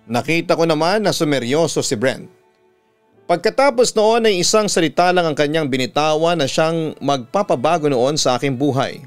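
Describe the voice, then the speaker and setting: A man's voice: on the high side, animated, normal speed, clean tone. A Filipino man narrating a dramatic first-person story in the practised manner of a radio announcer. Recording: music behind the voice. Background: background music.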